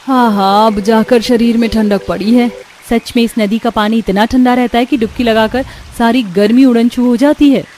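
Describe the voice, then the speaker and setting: A woman's voice: fairly high pitch, lightly acted. A woman voicing a resourceful, dutiful young village daughter-in-law in a cartoon story.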